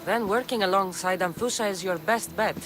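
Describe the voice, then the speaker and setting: A woman's voice: mid-range voice, a little fast, background noise, natural voice. A woman playing a hardened exiled Spartan mercenary in a video game, with a blunt, firm delivery. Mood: wry, probing. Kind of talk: video game dramatic dialogue. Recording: music behind the voice.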